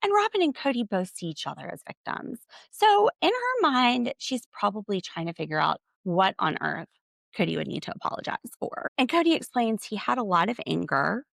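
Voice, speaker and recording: A woman's voice: medium pitch, big pitch swings, normal speed, creaky, a bit bright, no echo. A woman hosting a podcast, giving a solo recap of a TV show in a blunt, opinionated way with sarcastic asides. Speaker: podcast host.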